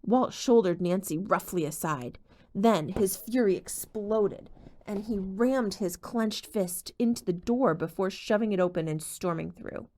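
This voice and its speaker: A woman's voice: mid-range voice, measured pace, dark tone. A woman reading a novel aloud as an audiobook narrator, telling the story expressively. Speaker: audiobook reader.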